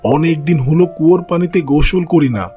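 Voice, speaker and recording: A man's voice: a little high, normal speed, warm tone, faint music behind, lightly acted. A man voicing an arrogant, stingy and irritable king in a fairy-tale cartoon, haughty and commanding. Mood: complaining, commanding.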